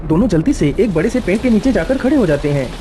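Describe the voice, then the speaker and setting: High-pitched, animated male story narrator. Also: quick pace, noisy background. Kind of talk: scripted Hindi cartoon storytelling.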